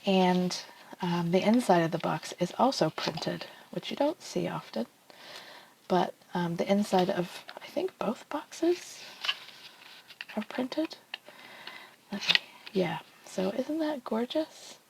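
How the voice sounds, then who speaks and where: A woman's voice: medium pitch, lively intonation, takes it slowly, vocal fry, pauses often, slight background noise, low-quality recording. A woman from New Zealand with a New Zealand accent, chatting casually and enthusiastically to camera as a tarot channel host, with lots of ums and asides.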